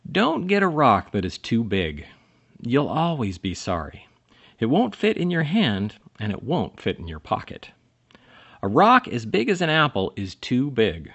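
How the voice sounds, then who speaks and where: Male storybook reader, very animated intonation, expressive emphasis for young listeners, lo-fi muffled sound. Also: medium pitch, vocal fry. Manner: dramatic pauses and emphasis for children. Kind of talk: expressive children's picture-book read-aloud.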